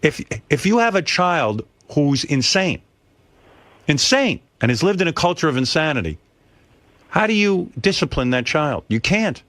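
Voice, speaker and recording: Profane, animated male radio host. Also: fairly high pitch, normal speed, dry recording. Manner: profane, emphatic ranting. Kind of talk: casual radio talk. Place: radio studio. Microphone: studio microphone.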